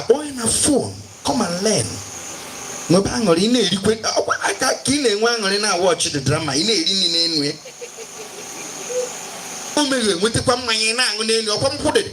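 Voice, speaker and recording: A man with a Nigerian Igbo accent hosting a live stage event as master of ceremonies, switching between Igbo and English in showy announcer phrasing. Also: high-pitched. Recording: noisy live event over a microphone.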